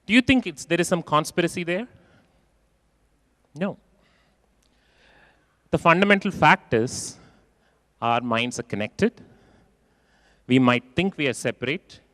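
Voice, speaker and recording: A man giving a keynote talk in a large conference hall, expressive and acting out quoted voices, repeating phrases for emphasis. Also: fairly high pitch, unhurried, long pauses, studio quality. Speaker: keynote speaker.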